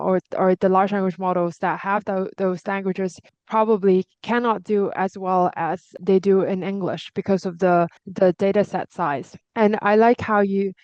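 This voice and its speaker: A woman's voice: mid-range voice, a little mellow, no room sound. A woman whose first language is Cantonese, hosting a discussion and sharing her reflections thoughtfully, with frequent restarts. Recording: dull lo-fi sound.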